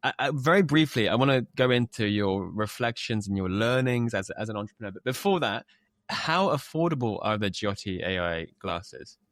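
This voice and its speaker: A man hosting an interview who asks questions and reacts with quick affirming remarks. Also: lowish voice, expressive, fairly bright tone, dry recording, studio quality. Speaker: interview host.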